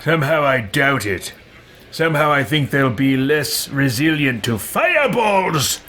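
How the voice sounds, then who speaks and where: A man's voice: medium pitch, sing-song, measured pace, pushed and bright, a little hiss or hum. A man doing playful let's play commentary, roleplaying his game character in a wry, theatrically impatient way. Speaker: gamer roleplaying through a video game.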